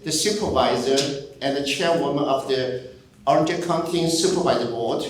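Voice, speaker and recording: Male emcee, rather high for a man, halting read-out introduction, distant from the mic in a very echoey hall. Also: a little hiss or hum. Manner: halting, repeats words while reading. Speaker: event emcee. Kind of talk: reading a formal introduction.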